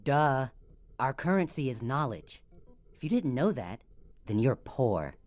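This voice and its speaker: A man's voice: mid-range voice, sing-song, unhurried, a little mellow, soft background music. A man playing an impatient, snappish doctor's assistant in a comic radio play, curt and scolding. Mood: scornful.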